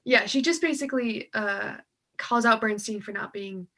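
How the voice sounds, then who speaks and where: A woman's voice: medium pitch, normal speed, gravelly, sharp tone. A woman co-hosting a casual book discussion, speaking in an informal, colloquial way. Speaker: co-host of a political book read-along. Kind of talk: casual chat about a book.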